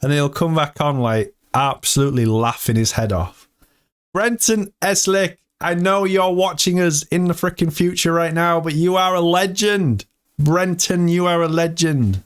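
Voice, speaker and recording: A man's voice: fairly high pitch, unhurried, clear, resonant tone. A man in his thirties hosting a casual live stream from a hot room indoors, joking around and laughing often. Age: 30s. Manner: jokey, laughs often, chatty.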